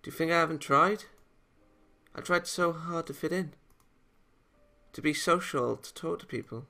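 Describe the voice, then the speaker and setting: Visual novel schoolgirl read by a man, bitter and brooding, gravelly edge, quietly menacing. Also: a little high, moderate pace, voice pushed up. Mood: pained. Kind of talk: dramatic read-aloud of game dialogue.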